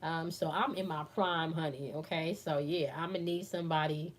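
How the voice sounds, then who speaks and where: A woman's voice: deep voice, steady tone, normal speed, slight background noise. A woman in her forties hosting a casual live chat, reading viewer comments aloud and answering with playful, laughing banter. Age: 40s. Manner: chatty and playful, laughs often, addresses viewers as y'all.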